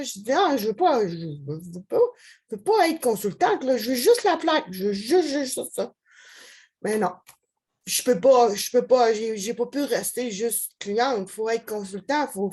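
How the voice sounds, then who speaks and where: A woman with a Québécois accent gives a lively, rambling personal testimonial over a video call, full of restarts. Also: mid-range voice, breathy edge. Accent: Québécois French. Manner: animated storyteller, rambling with many restarts. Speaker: customer giving a testimonial.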